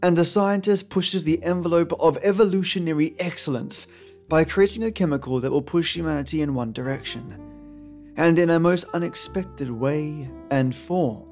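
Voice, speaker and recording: A man's voice: a little high, normal speed, a little mellow. A man hosting a podcast, giving a scripted, chummy, theatrical introduction to old radio tales. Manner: chummy, theatrical, addresses listeners as mates. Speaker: podcast host. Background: music.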